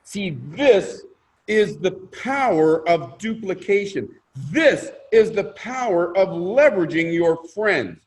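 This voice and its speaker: A man presenting a motivational sales pitch in an emphatic, theatrical way, repeating phrases and asking rhetorical questions. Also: lively intonation, slow, darkish tone. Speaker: presenter pitching a crowdfunding scheme.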